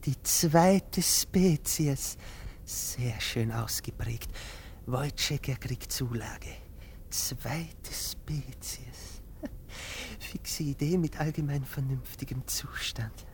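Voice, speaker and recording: Pompous, slow-speaking doctor. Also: male voice, mid-range voice, darkish tone. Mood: fascinated, gloating. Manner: pompous, theatrical, lurching between whispers and outbursts. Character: pompous, excitable male doctor obsessed with experiments. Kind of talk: German radio play acting.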